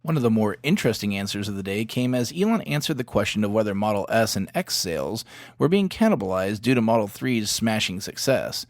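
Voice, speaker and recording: A man's voice: on the low side, sing-song, fairly quick, no echo. A man hosting a podcast, delivering scripted narration that links recap segments. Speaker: podcast host.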